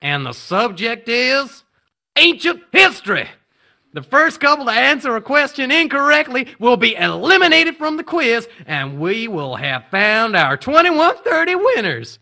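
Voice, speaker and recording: Bright, showy game show host. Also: male voice, extremely high pitch, big pitch swings, unhurried, exaggerated voice. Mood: excited, showy. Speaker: quiz host. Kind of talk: audio drama game show presenting.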